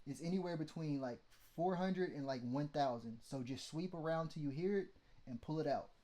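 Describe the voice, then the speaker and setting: Mid-range male tutorial host, slightly high, laid-back and profane. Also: normal speed, dark tone. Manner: loose and blunt, swears freely, lots of "like" and "okay?". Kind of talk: casual how-to teaching.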